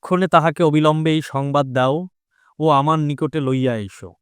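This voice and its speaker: A man's voice: fairly high pitch, fairly even intonation, normal speed, clean tone, dry recording, clean studio sound, close to an everyday voice. A male actor playing a formal, authoritative caliph in an audio drama. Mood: gracious, pleased. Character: stern, commanding male caliph who can relent graciously. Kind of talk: Bengali audio drama acting.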